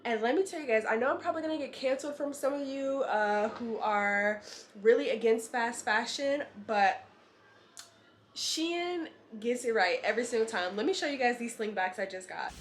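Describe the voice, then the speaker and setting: A woman's voice: fairly high pitch, lively intonation, moderate pace, slight background noise. A young woman in her twenties from North Carolina, chatting casually to her camera in an upbeat, filler-heavy way while congested and a little nasal from a cold. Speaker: model and vlogger. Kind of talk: casual vlog chat to camera. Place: her apartment and city streets. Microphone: handheld compact camera.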